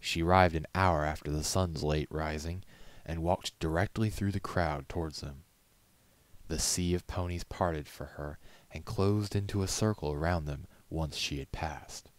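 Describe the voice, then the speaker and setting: A man's voice: very deep voice, no echo. A man reading a story aloud as scripted storybook narration. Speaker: amateur story reader.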